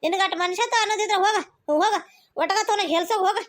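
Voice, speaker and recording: A woman's voice: very high-pitched, racing. A woman with a North Karnataka accent voicing an outspoken, exasperated village daughter-in-law in a cartoon comedy, arguing in pointed questions. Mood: offended. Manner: bickering, rhetorical questioning.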